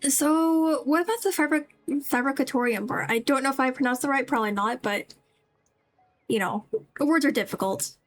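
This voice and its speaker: A woman's voice: high voice, brisk. A woman streaming a game, giving casual, chatty, playfully mocking commentary as she plays. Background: game music.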